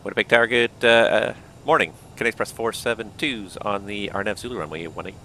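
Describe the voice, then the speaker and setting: A man flying a simulated airliner, thinking aloud and calling out checklists, with a voice that is going hoarse. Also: on the low side, quick pace, sharp tone, a little hiss or hum. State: losing his voice. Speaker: flight simulator pilot. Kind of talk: casual cockpit commentary.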